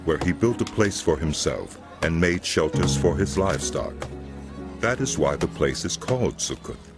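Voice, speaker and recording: A very low male voice narrates scripture with solemn, measured storytelling. His intonation moves widely, and music plays clearly underneath. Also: expressive.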